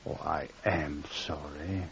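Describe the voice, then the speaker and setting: An actor playing a nervous, evasive gentleman doctor in 1950s radio drama, halting and distracted. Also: a bass voice, fairly even intonation, vocal fry, slight background noise, full character voice. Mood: nervous, ingratiating. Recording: dull vintage 1950s radio recording.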